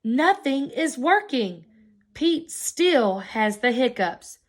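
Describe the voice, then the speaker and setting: A woman, a preschool teacher, reading a picture book aloud to young children in a slow, expressive storytelling manner. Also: high-pitched.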